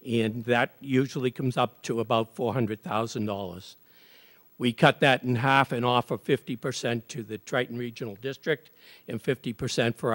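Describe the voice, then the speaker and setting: A man's voice: mid-range voice, in a large hall. A man speaking as a town selectman, making a formal, matter-of-fact public comment about budget figures.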